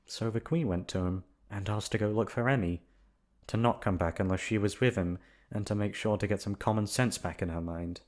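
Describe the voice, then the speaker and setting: A man's voice: lowish voice, a little fast, darkish tone. A man narrating a bedtime storybook tale as a voice actor, in an ASMR sleep-aid style.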